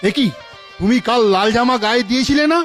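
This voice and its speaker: Man playing a very high-pitched blustering king. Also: quick pace, fairly bright tone, soft background music. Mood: angry, imperious. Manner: imperious, scolding. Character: blustering, vindictive cartoon king.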